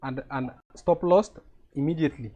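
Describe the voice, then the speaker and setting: Man, Kenyan accent, trading teacher, slightly raised pitch, step-by-step explaining. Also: moderate pace, warm tone, muffled recording. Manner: explains step by step, often checks if students follow. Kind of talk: live trading lesson on a call.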